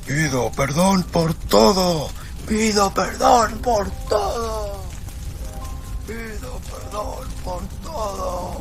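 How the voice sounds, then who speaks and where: A man voicing a desperate man in agony, pleading with drawn-out anguished cries in a radio story. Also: sits high, lively intonation, over music, pitched above the performer's own voice, exaggerated voice. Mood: anguished terror. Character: desperate man burning alive, howling for forgiveness.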